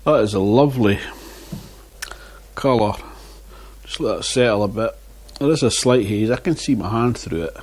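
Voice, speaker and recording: A man's voice: lowish voice, animated, normal speed, pauses often, darkish tone. A man reviewing beer in a casual vlog, chatting and muttering asides to himself on a lapel mic. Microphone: wireless lapel mic.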